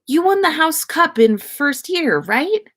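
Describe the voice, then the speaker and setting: Lady reading as a chatty eager schoolgirl, higher voice, friendly n curious, storybook style. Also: big pitch swings, slow pace, a bit bright, full character voice.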